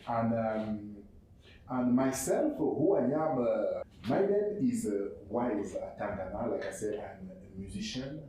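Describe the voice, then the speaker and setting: A man from Cameroon whose first language is French, speaking English with a Cameroonian French accent. He presents his non-profit haltingly, often repeating words and restarting. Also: on the low side, animated, warm tone. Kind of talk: spoken presentation. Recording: very echoey room, far from mic, faint noise.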